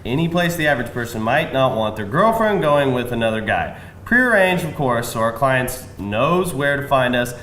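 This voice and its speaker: An actor playing a smooth, defensive man pitching a shady job in a live screenplay table read. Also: very animated, moderate pace, few pauses, a bit bright. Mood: defensive, persuasive. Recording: roomy live venue, faint noise.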